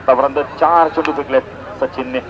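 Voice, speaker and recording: A man's voice: medium pitch, steady tone, fairly quick, low-quality recording. A man with a Maharashtrian accent doing live cricket commentary and announcements. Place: outdoor cricket ground. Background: crowd.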